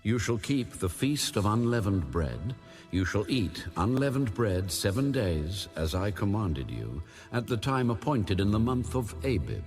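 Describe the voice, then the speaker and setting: A man reading scripture as a scripted audio Bible narration in a measured, solemn manner. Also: lowish voice, very animated, darkish tone, faint music behind.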